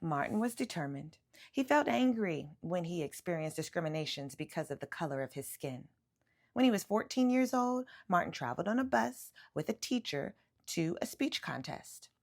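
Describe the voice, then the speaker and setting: Lady doing a kids book read aloud, super expressive pitch swings, bit of creak in her voice. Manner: animated, emphatic storytelling with drawn-out words. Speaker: children's book reader.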